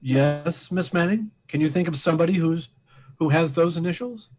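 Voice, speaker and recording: A man playing a calm, methodical police inspector in an old-time radio mystery, deliberate and probing. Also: on the high side, lightly acted.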